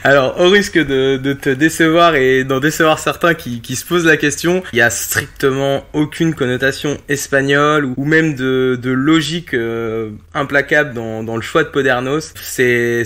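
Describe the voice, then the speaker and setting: A man in his early thirties from western France chats casually as a guest. His voice is bright and forward at a middling pitch. He often doubles back on his words as he finds his phrasing. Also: clean tone. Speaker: podcast guest, streamer. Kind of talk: casual chat.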